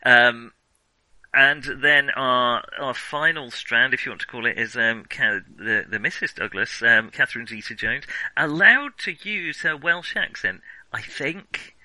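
Man, podcast host, sharp bright tone, rambling, self-correcting, casual film chat, dry sound. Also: mid-range voice, unhurried, no room sound, poor audio. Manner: hesitant, rambling, self-interrupting.

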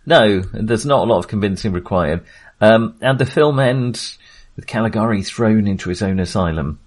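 A male podcast co-host with a lowish voice talks informally about cinema. His delivery is halting, as if he is working out each thought while he speaks. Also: animated. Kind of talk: casual film discussion.

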